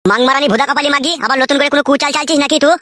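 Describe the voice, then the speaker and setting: A woman's voice: high voice, very fast, pushed and bright, no echo. A woman playing a crude, swaggering husband in a comedy cartoon, with a coarse, bossy way of talking. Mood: angry, abusive. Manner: coarse, brash, bossy, swearing. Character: crude, swaggering young husband with two wives.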